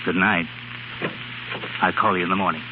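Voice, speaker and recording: An actor playing a kindly, protective American friend, coaxing and soothing, in 1930s radio drama style. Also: male voice, deep voice, steady tone, moderate pace, very noisy, close to an everyday voice. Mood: calming. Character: kindly, protective male friend, warm-hearted and reassuring. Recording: muffled 1930s radio broadcast, music.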